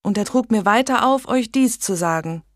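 A woman's voice: fairly high pitch, expressive, moderate pace, no echo, studio quality, pitched above the performer's own voice, close to an everyday voice. A woman voicing a pious young noblewoman who earnestly pleads with a king, in a storybook reading. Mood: fervent. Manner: earnest, imploring.

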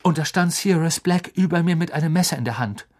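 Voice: medium pitch, quick pace, a little mellow, pitched above the performer's own voice, close to an everyday voice. A reader voicing a frightened teenage boy in a storybook, urgent and insistent. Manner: urgent, pleading, shaky.

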